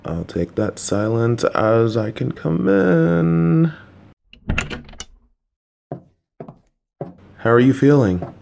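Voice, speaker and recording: A man voicing a kind, worried, clueless father who talks to his child in a gentle, patient, anxious, rambling way. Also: mid-range voice, lively intonation, slow, gravelly, a little hiss or hum, exaggerated voice. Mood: warm. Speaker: voice actor. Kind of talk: audio roleplay for a listener.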